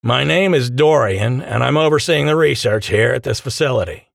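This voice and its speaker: A man voicing an imposing, charismatic leader of about forty with a transatlantic accent, giving grand, measured oratory in an audiobook. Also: medium pitch, creaky, no room sound, studio quality, voice pushed up, natural voice. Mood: commanding.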